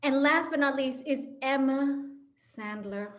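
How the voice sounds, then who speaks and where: A woman's voice: high voice, measured pace. A woman with an American accent hosting an online panel and introducing guests in a theatrical, emphatic way. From Los Angeles, United States. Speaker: moderator of a panel event. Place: online video call.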